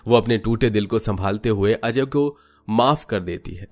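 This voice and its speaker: A man's voice: lowish voice, normal speed. A man giving scripted, melodramatic narration for a cartoon family story.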